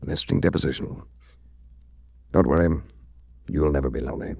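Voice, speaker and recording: A man's voice: rumbling low, a little fast, gravelly, heavy background noise, heavily acted. An American man playing a suave, shrewd adventurer-detective in a 1940s radio drama, speaking with dry, worldly understatement. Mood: dry, smooth. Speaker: lead actor and first-person narrator in a radio detective series.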